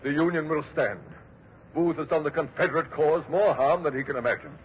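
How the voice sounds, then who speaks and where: A man's voice: fairly high pitch, brisk, lightly acted. An actor playing an indignant, grieving statesman with formal, emphatic oratory in a 1940s radio drama. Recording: dull, faintly noisy old radio broadcast.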